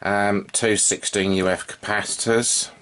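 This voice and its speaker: A man giving an unscripted, informal hands-on demonstration, thinking aloud in a halting way as he works as a hobbyist repairer. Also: lowish voice, flat delivery, fairly bright tone. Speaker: vintage radio repair hobbyist and video presenter.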